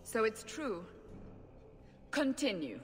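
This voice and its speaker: A woman's voice: on the high side, very animated, slow pace, soft background music. A woman playing a stern, sceptical interrogator in a fantasy game, commanding and probing, in a reverberant space. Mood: stern, suspicious. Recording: long hall reverb.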